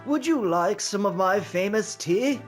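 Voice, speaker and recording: A man's voice: sits high, expressive, a little slow, faint music behind. A man playing a courteous, dignified old butler with formal, deferential speech in an audio drama. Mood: polite, welcoming.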